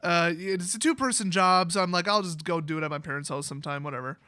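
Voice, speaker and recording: An American man telling personal stories in a casual, rambling vlog style, animated and prone to exaggeration. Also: high-pitched, fast, clear, resonant tone, no room sound, studio quality. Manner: animated, exaggerating, quotes people in acted-out voices. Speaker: YouTube vlogger.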